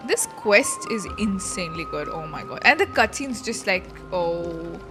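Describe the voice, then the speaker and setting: A woman's voice: medium pitch, big pitch swings, measured pace. A woman streamer giving casual live reaction commentary on a game, chatty, informal and exclamatory, with game music behind her.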